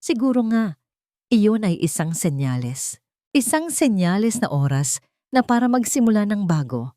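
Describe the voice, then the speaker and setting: A woman's voice: mid-range voice, sing-song, normal speed, dark tone, no echo, clean studio sound, natural voice. A woman voicing a cold, heartless husband in an audio story, speaking without feeling. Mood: cold, merciless. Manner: cold, emotionless. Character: cold, heartless husband leaving his wife.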